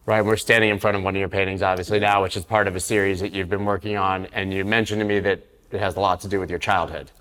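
Male interviewer, lowish voice, brief prompting questions, reverberant room. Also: flat delivery, fast, few pauses, fairly bright tone. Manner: asks short prompting questions. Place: large indoor art space.

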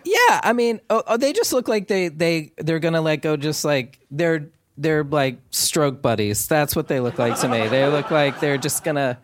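A man's voice: a little high, animated, normal speed, few pauses, no room sound, clean studio sound. A man from Maine with a Maine accent, a comedian chatting on stage in front of a live audience and telling a joke in a rambling way, full of restarts. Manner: rambling, many restarts, laughing storyteller. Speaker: comedian guest. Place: theater stage before an audience.

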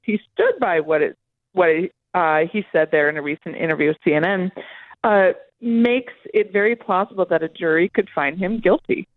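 A dry, band-limited phone line carries a woman's voice at a medium pitch. She is a reporter giving live interview answers, explaining things and often restarting her sentences. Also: dry recording. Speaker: political reporter interviewed as guest.

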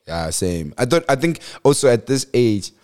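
A man's voice: mid-range voice, normal speed. A man in his twenties from South Africa, co-hosting a podcast in casual, slangy chat. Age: 20s. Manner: relaxed, slangy, laughs often. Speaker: podcast co-host.

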